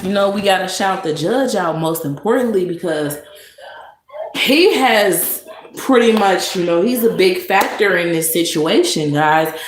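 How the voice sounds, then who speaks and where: A woman vlogger delivering a sarcastic monologue of mock praise, with drawn-out emphasis. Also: fairly low pitch. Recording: echoey room with noise.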